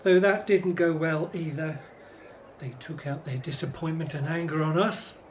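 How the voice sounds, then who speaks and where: Actor as troubled, serious Hebrew brother. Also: male voice, a little high, steady tone, normal speed, a little hiss or hum, natural voice. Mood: troubled, weary. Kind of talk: religious radio drama acting.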